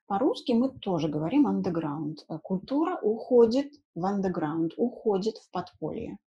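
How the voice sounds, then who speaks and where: A woman's voice: medium pitch, animated, moderate pace, mellow, husky, muffled recording. A woman in her thirties teaching an informal lesson in simple words, repeating key words and adding short check questions. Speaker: language teacher. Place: small room indoors at home.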